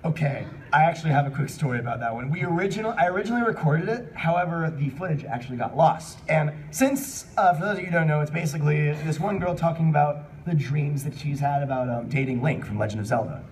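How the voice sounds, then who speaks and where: A man's voice: a little high, fast, hardly any pauses, darkish tone. A man hosting a live fan panel, talking casually in a rambling, jokey, unscripted way and taking questions from the room. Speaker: panel host answering audience questions. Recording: distant mic in noisy live panel room.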